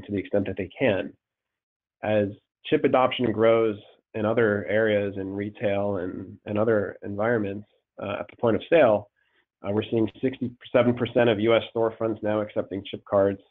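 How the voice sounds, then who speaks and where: A man with a cold presenting a webinar on fraud as a risk manager, halting and repeating words, with many ums. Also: fairly low pitch. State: congested with a cold. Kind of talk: slide presentation over a call. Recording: tinny phone-line audio.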